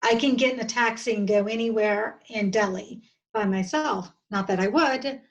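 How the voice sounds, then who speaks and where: A woman of about fifty with an American accent, talking earnestly and haltingly as a guest in an interview, often restarting her sentences. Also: mid-range voice, dull audio. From southern United States. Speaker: podcast guest. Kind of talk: reflective interview conversation.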